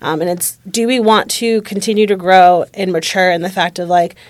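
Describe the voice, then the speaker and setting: A woman's voice: on the low side, normal speed, dry recording. Candid woman in her late twenties, dry recording. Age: late 20s. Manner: reflective, candid, heavy use of "like". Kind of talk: candid casual conversation.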